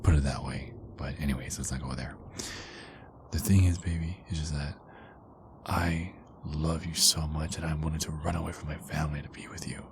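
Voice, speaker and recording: A man playing a tender, insecure werewolf boyfriend in an intimate ASMR roleplay, speaking in a hushed, halting way that often slips into whispers. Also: very deep voice, steady tone, noisy background. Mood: hushed, earnest.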